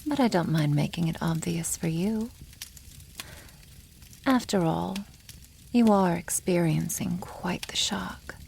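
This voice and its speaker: A woman voicing a haughty, possessive female dragon in an ASMR roleplay, teasing and condescending, often dropping toward a breathy whisper. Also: lowish voice, slow, pauses often, darkish tone, slight background noise. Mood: calm, teasing.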